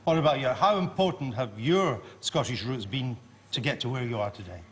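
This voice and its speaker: A man's voice: mid-range voice, fairly quick. A man hosting a studio panel interview, asking chatty and teasing questions. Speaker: TV talk show host.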